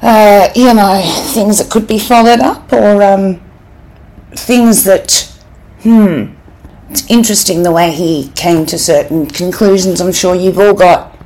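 A woman's voice: mid-range voice, a little slow, darkish tone, poor audio. A woman podcast host speaking casually and conversationally, musing as she goes. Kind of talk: casual podcast sign-off and commentary.

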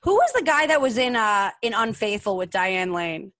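American woman, podcast co-host. Gushing, rambling, profane casual talk; mid-range pitch, dry close recording. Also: very animated, normal speed, a bit bright, no room sound. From New Jersey, United States. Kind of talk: casual, explicit pop-culture chat.

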